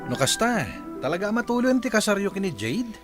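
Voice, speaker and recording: A man's voice: high voice, big pitch swings, brisk, faint music behind. A man playing a groom's inquisitive young buddy in a radio comedy drama. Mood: curious.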